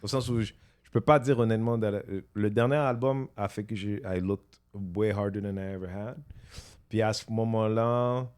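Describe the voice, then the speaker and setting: Man, low pitch, warm dark tone, casual podcast chat, drops into English mid-sentence. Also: fairly even intonation, clean tone. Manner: code-switches between French and English, halting restarts. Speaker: podcast co-host.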